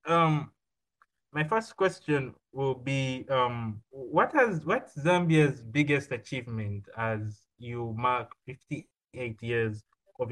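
Man, podcast host, upbeat, medium pitch. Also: a bit bright, low-quality recording. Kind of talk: casual podcast hosting.

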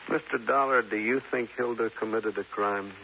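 An actor playing a guarded, courteous but firm American sheriff in 1950s radio drama, speaking formally and deliberately. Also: male voice, fairly low pitch, steady tone, close to an everyday voice. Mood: earnest. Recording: noisy, narrow-band 1950s radio recording.

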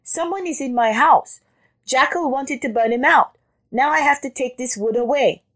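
A woman's voice: high voice, moderate pace, voice pushed up. A woman voicing a fussy, indignant female rabbit in an animal folktale, whining and protesting. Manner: whiny, protesting, exclaiming.

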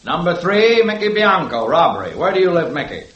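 An actor playing a brisk male police sergeant in a 1950s radio drama, giving crisp, matter-of-fact commands. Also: a little high, sing-song, a bit bright, highs cut off. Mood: commanding. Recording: echoey old radio recording with hiss.

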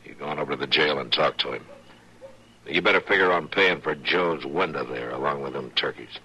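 Very deep stern Western marshal. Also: male voice, a little fast, sharp tone, exaggerated voice. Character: stern, level-headed frontier US marshal. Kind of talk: 1950s radio western acting. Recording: noisy old radio recording.

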